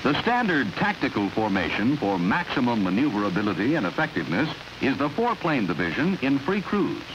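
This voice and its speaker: A man's voice: mid-range voice, sing-song, highs cut off. American man narrating a noisy 1950s training film. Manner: measured, clear, instructional.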